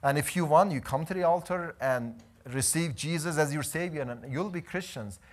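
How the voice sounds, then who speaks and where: Male missionary, 30s, lively storytelling testimony, fairly high voice. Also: moderate pace. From Iran. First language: Azeri. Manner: animated storytelling, gesturing while pacing. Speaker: missionary preacher. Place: church hall, indoors.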